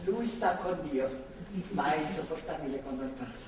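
Older female as gentle fanatical believer in a stage drama; lowish voice, heavily reverberant hall. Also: lively intonation, normal speed. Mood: mild, pious. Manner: earnest, soothing, pious. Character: gentle, devout, motherly woman captor, fanatical believer. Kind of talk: stage drama acting in a radio broadcast. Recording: very echoey hall, distant mic, noisy.

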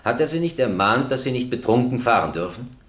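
An actor playing a stern, impatient presiding judge in a radio crime drama, questioning formally and insistently. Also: male voice, medium pitch, lively intonation, a little fast, a bit bright, lightly acted. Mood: impatient, pressing.

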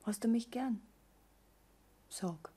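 A woman's voice: a little high, slow pace, warm tone, slightly rough. An actress playing a pleading young woman in a naturalistic radio play, intimate and pressing. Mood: intimate, coaxing. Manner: pleading, intimate, often dropping to a whisper. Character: pregnant young woman pressing her lover to marry.

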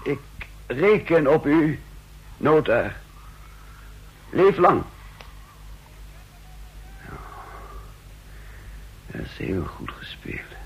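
An actor playing a secretive, calculating conspirator in a radio drama, formal, deliberate and hesitant in his speech. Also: a little high, big pitch swings, a little hiss or hum, full character voice, low-quality recording. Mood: brooding, scheming. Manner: deliberate, hesitant, formal, murmuring to himself at times. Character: secretive, calculating, terminally ill male conspirator with courteous authority. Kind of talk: Dutch radio drama acting.

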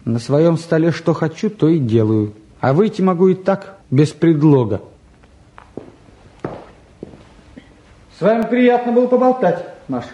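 A restless teenage lad in a radio play, with a fairly high, mellow voice. He grumbles in slang, sardonic and flippant about everything around him. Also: big pitch swings, normal speed, rough recording. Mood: cheeky. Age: young adult. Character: bored, restless, sardonic eighteen-year-old younger son. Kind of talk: naturalistic stage and radio drama acting.

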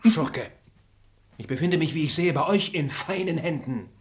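An actor playing a reserved, formal noble traveller in a classic radio play, speaking in a measured way. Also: male voice, on the high side, natural voice. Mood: indignant. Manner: measured, formal, grows indignant. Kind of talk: stage radio play acting.

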